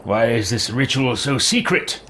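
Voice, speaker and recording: A man's voice: mid-range voice, expressive, measured pace, bright, forceful tone, slight background noise. A man voicing a haughty, impatient recruit in a fantasy roleplay game, curt and drily sarcastic. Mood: suspicious. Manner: dry, curt, sardonic. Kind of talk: scripted roleplay game dialogue.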